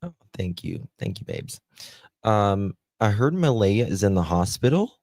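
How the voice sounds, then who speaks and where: Southern guy on a livestream, slow drawl, deep gravelly voice, warm dark tone, dry close sound. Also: big pitch swings, dry recording. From United States. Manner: slow, chatty, drawling, talks to viewers by name. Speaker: livestream host. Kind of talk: casual live chat reading comments.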